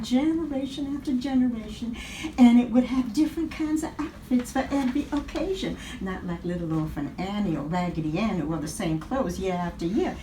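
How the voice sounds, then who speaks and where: A woman's voice: on the high side, dark tone, close to an everyday voice. An actress playing an indignant, chatty, melodramatic young woman in a comic radio play, with emphatic theatrical delivery. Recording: echoey rehearsal with background noise.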